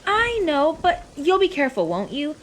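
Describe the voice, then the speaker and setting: A woman's voice: sits high, big pitch swings, normal speed, slight background noise. A woman acting a worried, doting mother of a grown son in a radio drama, anxious and fussing. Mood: tender, worried.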